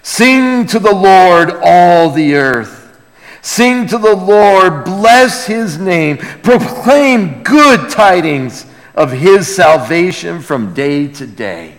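A man preaching a sermon as a pastor, earnest and emphatic, moving between hushed lines and loud exclamation, with rhetorical questions to the congregation. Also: high voice, big pitch swings, drawn-out words. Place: large reverberant room, preaching to a congregation. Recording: long hall reverb.

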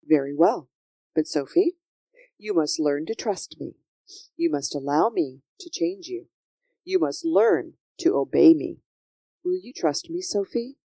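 A gentle storybook king, read by a woman, speaking slowly in a low, mellow voice. He is solemn and measured, reassuring yet full of kindly authority. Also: lively intonation, dry recording, poor audio. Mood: gently firm. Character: gentle, solemn kingly man, patient and reassuring. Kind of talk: children's storybook character voice.

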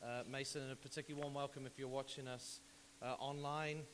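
A man preaching an informal church sermon as a pastor, conversational and emphatic, his voice slightly croaky from recovering from an illness. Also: medium pitch, level tone, fast talker. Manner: conversational, hesitant asides mixed with emphatic repetition. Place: church hall, preaching to congregation. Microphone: church microphone. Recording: hissy, very reverberant hall recording.

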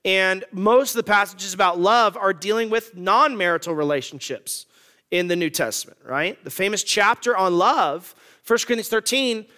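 A man's voice: sits high, pushed and bright. A man in his thirties preaching a sermon on marriage in a conversational, joking way, asking the congregation questions. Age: 30s. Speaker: pastor.

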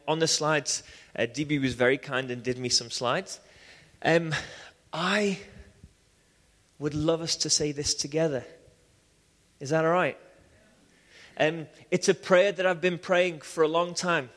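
Liverpool guy preaching, kinda high voice, lots of ums and restarts, big echoey church hall. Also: takes it slowly, pauses often. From England. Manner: animated, many restarts and "um"s. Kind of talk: sermon with personal testimony. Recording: long hall reverb.

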